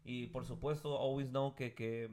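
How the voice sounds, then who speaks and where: A man's voice: mid-range voice, steady tone, a little slow, a little mellow. A man hosting a casual podcast, joking and mixing Spanish and English. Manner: loose, joking, switches between Spanish and English. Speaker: podcast host. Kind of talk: casual bilingual chat.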